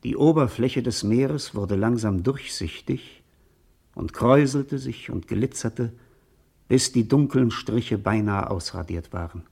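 A man narrating a lyrical literary radio play in a contemplative manner, with a husky voice. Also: medium pitch, a little slow, a little mellow.

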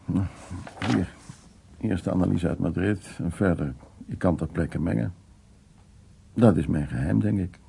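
Husky, gravelly older art restorer. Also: male voice, low voice, measured pace, pauses often, mellow, heavily acted. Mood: brisk, reassuring. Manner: informal, teasing, dismissive of worries. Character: genial, stubborn older male art restorer with heart trouble. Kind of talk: naturalistic radio drama acting.

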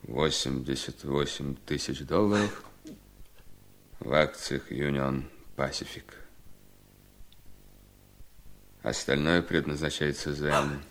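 An actor playing a bitter, resolute husband in a Soviet seventies radio drama, speaking in a deliberate, ironic, emphatic way. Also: male voice, a bass voice, a bit bright, full character voice. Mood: cold, resolute. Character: bitter, resolute husband coolly punishing his scheming wife.

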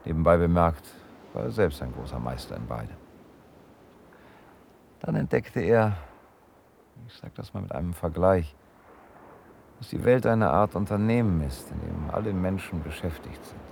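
Radio drama lead: world-weary thinker, very low, creaky, reserved, slight noise behind. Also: male voice, animated, a little mellow. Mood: reflective. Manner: philosophical, measured, reserved. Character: Heist, reflective, world-weary detached male wanderer. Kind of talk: German radio drama acting.

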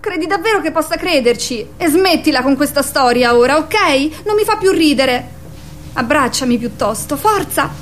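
A young girl in a radio play with a high voice. She teases and doubts, then pleads and clings. There is clear noise in the recording. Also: expressive, normal speed. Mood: insistent, clingy. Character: sceptical, clingy, teasing girl in love with boy. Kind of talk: radio theatre acting.

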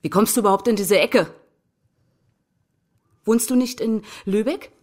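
A woman's voice: mid-range voice, measured pace, darkish tone, natural voice. A woman acting a guarded, defiant young left-wing student in a naturalistic radio drama, blunt and sardonic. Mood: indignant. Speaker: actress in radio crime drama.

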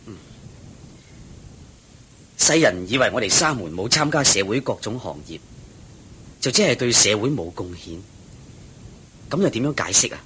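An actor with a Hong Kong Cantonese accent playing an earnest monk disciple in a radio drama, deferential and inquiring. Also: male voice, lively intonation, moderate pace, pauses often, pushed and bright. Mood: earnest questioning. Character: Ananda, earnest, respectful male monk disciple. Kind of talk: Buddhist radio drama acting. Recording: noisy recording with low rumble.

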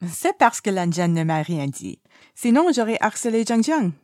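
A woman reading the part of a playful, nosy, dramatically indignant young man in a story, animated and mischievous. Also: medium pitch, normal speed, no room sound, studio quality, natural voice. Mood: playful exasperation.

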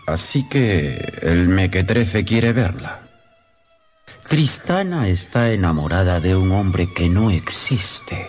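An actor playing a proud, disdainful elderly gentleman in a radio drama, courtly and deliberate in manner. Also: low voice, huge pitch swings, full character voice. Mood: grudging, commanding. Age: older. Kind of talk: Spanish-language radio drama acting. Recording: music behind the voice.

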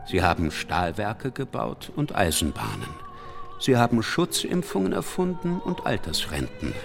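A man's voice: fairly low pitch, wildly swooping pitch, a little slow, creaky, exaggerated voice. An actor playing an eccentric man who fondly eulogises, declaiming theatrically in a stylised radio drama. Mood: wistful, theatrical. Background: prominent music.

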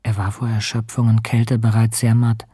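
A man's voice: lowish voice, completely flat delivery, moderate pace, dark tone. A man acting an earnest, compassionate ship captain and polar explorer in a radio play. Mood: reflective narration. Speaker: narrator and character in radio play. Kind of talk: radio drama acting with letter narration. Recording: clear noise, ambience behind.

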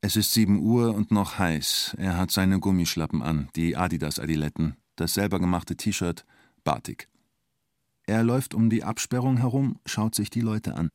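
An actor narrating a radio play in the present tense as an observant storyteller, in terse, understated fragments. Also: male voice, deep voice, lively intonation, moderate pace, no room sound, clean studio sound. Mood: observant, wry. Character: male storyteller following an onlooker at a siege, observant.

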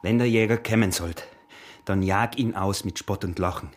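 Actor as a brooding, scheming Austrian peasant husband. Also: male voice, lowish voice, steady tone, normal speed, a little hiss or hum, natural voice. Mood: uneasy. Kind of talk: radio drama acting in rural dialect.

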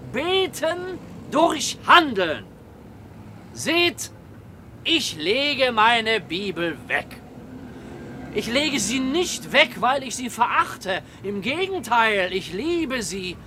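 High-pitched, fervent preacher. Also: male voice, sing-song, bright, forceful tone, slight background noise, heavily acted. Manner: slow, emphatic, exhorting. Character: fervent male lay preacher exhorting his friends. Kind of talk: sermon in a radio play.

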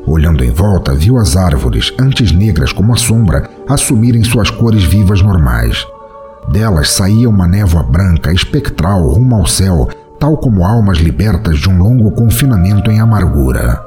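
A man narrating a scripted fantasy story as podcast host, with a dramatic, expressive delivery. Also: deep voice, moderate pace, soft background music.

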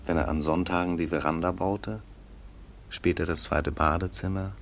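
An actor playing a disillusioned, gently sombre grown son in a 1950s German radio drama, speaking in a subdued manner. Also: male voice, deep voice, fairly even intonation, normal speed, creaky, slight background noise.

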